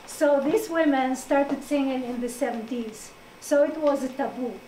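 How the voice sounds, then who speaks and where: Brief: high-voiced woman from Morocco, hesitant and laughing speaker, picked up from a distance. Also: dark tone. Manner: halting, many fillers, laughs often. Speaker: guest presenter. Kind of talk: informal talk to an audience. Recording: echoey room, distant mic, faint noise.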